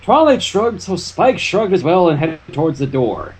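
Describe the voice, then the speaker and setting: A story narrator with a husky voice and lively, wide-moving intonation. The delivery is scripted fan fiction reading, loosened by casual asides. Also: mid-range voice, moderate pace.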